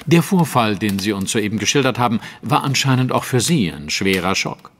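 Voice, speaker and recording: A composed, probing detective in an audio drama, played by a man. He speaks precisely and sceptically in a lowish voice, over faint noise. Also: animated, lightly acted. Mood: cool probing. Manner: measured, articulate, probing. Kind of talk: German audio drama acting.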